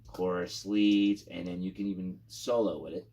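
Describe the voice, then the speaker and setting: Low-voiced man casually demoing gear. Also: steady tone, normal speed, creaky, warm tone. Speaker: gear reviewer and guitarist. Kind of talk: casual product demo.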